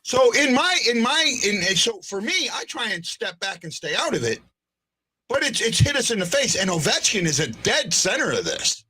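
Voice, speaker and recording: A man's voice: very high voice, big pitch swings, moderate pace, sharp tone, breathy edge, low-quality recording. A man hosting a sports podcast in casual chat, often hesitating, restarting sentences and checking in with "right?". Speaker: podcast host.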